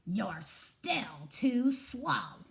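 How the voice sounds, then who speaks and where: A woman voicing big, scornful animal bullies in a children's picture book, loud, slow and taunting. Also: on the high side, sing-song, creaky, heavily acted. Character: scornful big male football animals: eagle, buffalo, bear.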